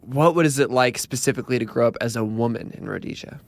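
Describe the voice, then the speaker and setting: A man's voice: mid-range voice, fast. A man hosting a podcast, speaking in an effusive, earnest way as he introduces and interviews his guest. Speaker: podcast host.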